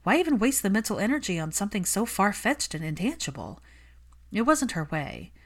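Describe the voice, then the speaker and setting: A woman reading a story aloud as scripted narration, in an expressive manner. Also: mid-range voice, brisk. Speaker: fan fiction audiobook narrator.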